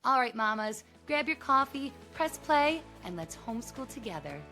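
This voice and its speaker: A woman's voice: a little high, sing-song, normal speed, pushed and bright, soft background music. A middle-aged woman hosting a podcast in a conversational, effusive and encouraging way. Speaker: podcast host.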